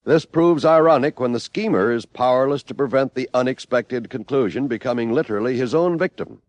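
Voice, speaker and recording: A man's voice: mid-range voice, fairly quick, no room sound. A man with an American accent announcing in the dramatic promotional style of 1950s radio.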